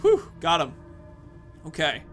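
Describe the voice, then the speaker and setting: Higher-voiced man doing casual gameplay commentary. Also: wildly swooping pitch, slow pace, fairly bright tone, faint music behind. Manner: rambling, informal, thinking aloud. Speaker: gaming video host. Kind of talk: casual let's-play commentary.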